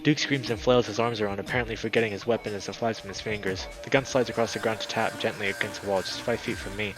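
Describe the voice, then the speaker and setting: Low-voiced man narrating with drama. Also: quick pace, low-quality recording. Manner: dramatic, excitable, stumbles over words while reading. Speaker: streamer, host of a let's play. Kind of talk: expressive read-aloud of a visual novel. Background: background music.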